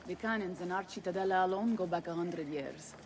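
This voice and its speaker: An actress playing a bold, sharp-tongued Italian noblewoman who rules a city, in a video game cutscene with an Italian accent. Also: lowish voice, fairly quick, slight background noise, natural voice. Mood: flirty, assured. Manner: brash, swaggering, salty-tongued.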